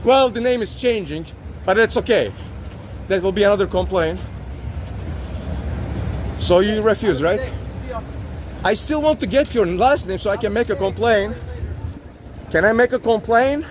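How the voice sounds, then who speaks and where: A man's voice: high-pitched, lively intonation, unhurried, long pauses, background noise. A man who speaks English as a second language, filming police outdoors on a city street and persistently repeating polite but insistent demands. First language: not English. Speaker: citizen auditor filming police. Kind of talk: confrontational street recording.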